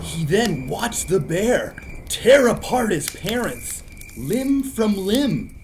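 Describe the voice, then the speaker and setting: A man's voice: high-pitched, big pitch swings, takes it slowly, slight background noise, heavily acted. An actor playing a cocky young man, a theatrical, easily exasperated camp counselor telling campfire stories in an audio drama. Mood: ominous.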